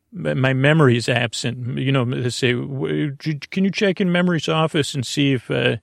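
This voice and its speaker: Man, gravelly creak, dry close sound, bedtime podcast host, soothing, halting, stammering, wandering monologue. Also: medium pitch, dry recording. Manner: meandering, halting, stammering, trailing into tangents; soothing, lulling. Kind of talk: rambling bedtime monologue to lull listeners to sleep.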